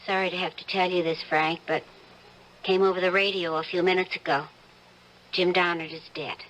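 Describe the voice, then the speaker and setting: A woman playing a judge's trusting, caring wife in old-time radio drama dialogue, conversational and gently expressive. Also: fairly low pitch, normal speed, close to an everyday voice, low-quality recording. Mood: gentle, sympathetic.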